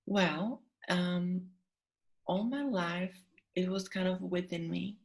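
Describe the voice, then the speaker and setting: A young woman from the Czech Republic with a Czech accent, a guest in an interview who talks in a relaxed, chatty way with many ums. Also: on the low side, takes it slowly, creaky. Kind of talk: interview conversation.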